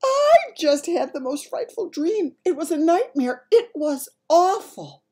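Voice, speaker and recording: A woman's voice: extremely high pitch, sing-song, unhurried, dark tone, voice pushed up, heavily acted. A woman voicing a regal older Queen in a storybook, with a proper, grand and emphatic manner. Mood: distressed, vivid.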